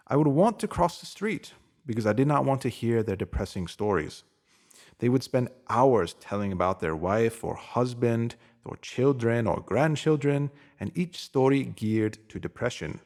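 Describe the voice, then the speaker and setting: A man's voice: mid-range voice, lively intonation, normal speed, darkish tone. Man earnestly reading a motivational spiritual essay. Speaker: narrator.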